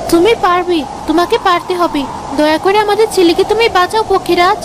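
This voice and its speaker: Very high-pitched village mother, acted by a woman. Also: brisk, background noise, lightly acted. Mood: pleading, urgent. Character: woodcutter's wife, anxious, devoted mother. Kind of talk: fairy-tale cartoon dubbing.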